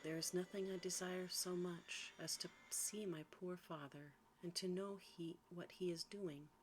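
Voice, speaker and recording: Woman reading as a low-voiced gentle storybook maiden. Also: steady tone, a little slow, slight background noise, natural voice, poor audio. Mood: wistful. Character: gentle young woman Beauty, dutiful, brave and resolute.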